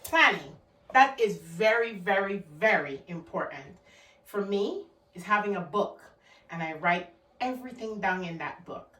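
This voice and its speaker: A woman's voice: mid-range voice, lively intonation, a little slow, a bit bright, reverberant, far from the mic. A woman with a Guyanese accent giving an emphatic motivational talk, repeating her key words for stress. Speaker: motivational vlogger.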